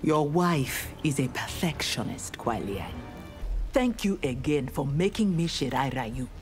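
A defiant, honorable warrior woman from a fighting game. Her voice sits fairly low, and she speaks firmly and with dignity, with faint music behind her. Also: big pitch swings, unhurried. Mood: composed. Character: defiant, honorable armored female ninja warrior. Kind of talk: scripted video game dialogue.

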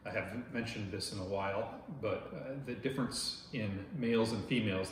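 A man in his forties from Oregon hosts an insect channel, chatting to the camera in a loud voice with lots of filler words. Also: on the low side. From Oregon, United States. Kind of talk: casual talk to camera. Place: dining room at home, indoors. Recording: very echoey room, faint noise.

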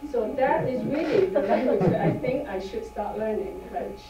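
A woman whose first language is Cantonese, giving a halting impromptu speech at a club meeting. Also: a little high, expressive. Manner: halting, with fillers and light laughs. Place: meeting room with long tables. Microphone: far camera. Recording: echoey room from far off, noisy.

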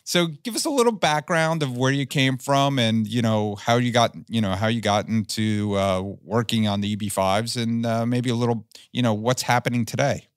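A man's voice: medium pitch, moderate pace, few pauses, no echo, studio quality. Man hosting a business podcast. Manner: friendly, rambling questions. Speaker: podcast host. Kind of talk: interview hosting.

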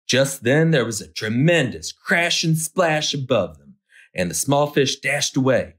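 A man's voice: mid-range voice, lively intonation, measured pace, a bit bright, breathy edge. A man narrating a children's picture book as a storytime host, expressively, drawing out key words for effect.

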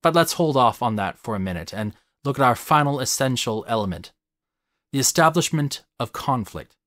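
A man reading a scripted, analytical video essay in a measured, articulate way, stressing key words. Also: medium pitch, animated, a bit bright, dry recording, studio quality.

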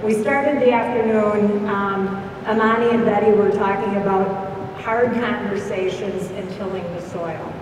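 A woman's voice: mid-range voice, steady tone, a little slow. A middle-aged woman giving a keynote address in a conversational way. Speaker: keynote speaker. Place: large hall with round tables. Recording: echoey hall, distant mic, background noise.

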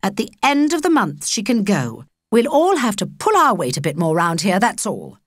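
A woman's voice: medium pitch, big pitch swings, moderate pace, no room sound, studio quality. A British woman voicing a stern, irritable father, a vicar, in a children's audiobook, curt and commanding. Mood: firm. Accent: British English.

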